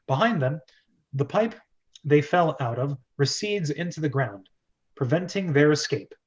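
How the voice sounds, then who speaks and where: Higher-pitched man reading a screenplay, dull audio. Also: lively intonation, unhurried. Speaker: host and script reader. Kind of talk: informal screenplay read-aloud with casual asides.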